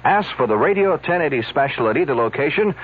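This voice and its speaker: A man reads a commercial announcement on the radio, his husky voice moving expressively up and down. The sound is thin and tinny, like an old AM broadcast. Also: on the high side, expressive, fast.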